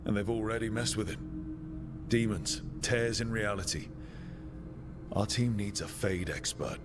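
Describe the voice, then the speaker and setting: An actor playing a wry, resolute young hero in a fantasy game, talking in a dry, confident, casual way. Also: male voice, lowish voice, unhurried, music in the background, lightly acted. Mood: determined. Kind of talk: fantasy video game dialogue.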